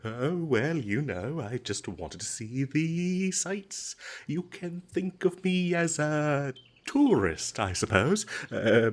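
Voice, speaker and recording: Man playing a glib, nervous adventurer with swooping intonation. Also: medium pitch. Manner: hesitant, stammering, ingratiating, flowery and polite phrasing. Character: smooth-talking captured adventurer, nervous, flattering, glib male trespasser. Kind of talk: comedic fantasy audio roleplay, scripted monologue.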